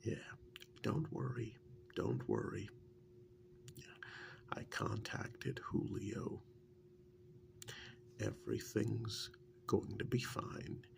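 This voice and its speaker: Man acting a gravelly, half-whispering, fondly wry boyfriend. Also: on the low side, expressive, exaggerated voice. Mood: soothing. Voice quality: gravelly, breathy, often dropping to a whisper. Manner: halting, musing, unhurried. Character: wry, affectionate kidnap victim fondly reminiscing to partner. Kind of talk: soft-spoken intimate ASMR role-play.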